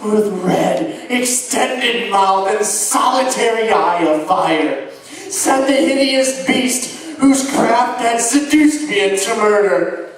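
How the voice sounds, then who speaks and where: Heard at a distance in a heavily reverberant space, a crazed murderer confessing in a Gothic stage monologue. The voice sits high for a man. The delivery is melodramatic and gloating, halting and repeating words as the confession unfolds. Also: exaggerated voice. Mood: horrified, frenzied. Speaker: stage actor performing a dramatic reading. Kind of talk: theatrical Gothic horror monologue. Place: live show venue at an amusement park. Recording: echoey venue, recorded from far off.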